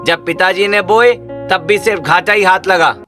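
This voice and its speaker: Guy doing a scornful drunkard husband in a village cartoon, forceful highish voice. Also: moderate pace, soft background music. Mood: scornful, angry. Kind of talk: cartoon story voice acting.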